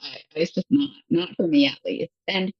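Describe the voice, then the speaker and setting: Gravelly, low-voiced American woman. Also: moderate pace, dry recording, lo-fi sound. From Michigan, USA. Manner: relaxed, chatty, laughs often. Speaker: guest, English teacher. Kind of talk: casual conversation.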